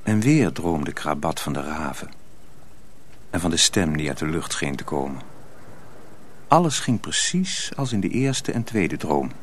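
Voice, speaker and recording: A man's voice: a bass voice, very animated, a little slow, a little hiss or hum. A man narrating a radio drama as a scripted storyteller.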